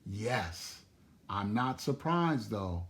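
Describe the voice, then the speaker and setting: A man voicing a gentle, loving old grandmother rabbit in a storybook, soft, slow and tender. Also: lowish voice, big pitch swings, full character voice. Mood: soft, reassuring. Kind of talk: storybook reading aloud.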